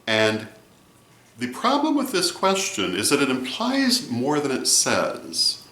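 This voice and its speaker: Bright-voiced man with animated, swinging pitch. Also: takes it slowly. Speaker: vlog host and religious advisor. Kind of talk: advice monologue to camera. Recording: roomy, echoey sound.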